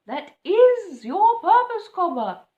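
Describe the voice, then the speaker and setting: Woman playing a wise old female owl, solemn and emphatic, high and swooping, storybook, echoey room. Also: very animated, takes it slowly, darkish tone, highs cut off, heavily acted.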